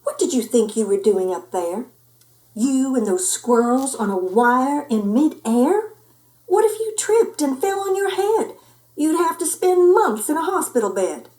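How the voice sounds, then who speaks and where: A storybook mother cat at her wits' end, put on by a woman. She scolds with dramatic exasperation and loving worry. Her fairly high voice rises and falls widely. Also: sing-song, a little slow, a little mellow, low-quality recording. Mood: scolding. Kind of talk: children's storybook character voice.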